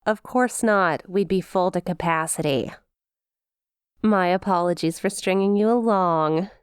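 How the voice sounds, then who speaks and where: A woman's voice: on the low side, unhurried, smooth, dry recording, clean studio sound, close to an everyday voice. A woman playing a perky, smug demon imp in an ASMR roleplay, teasing with playful mock sympathy and a possessive streak. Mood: gleeful teasing. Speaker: audio roleplay performer.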